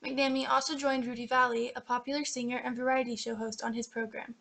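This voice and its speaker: Young woman reading a history script fast, lots of vocal fry. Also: on the high side, flat delivery, fairly bright tone. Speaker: narrator of student history project. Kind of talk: scripted documentary narration.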